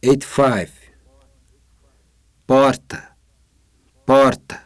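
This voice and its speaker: Guy reading out numbered single words, really slow, mid pitch. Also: steady tone. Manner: deliberate, isolated words. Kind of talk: reading numbered word list aloud.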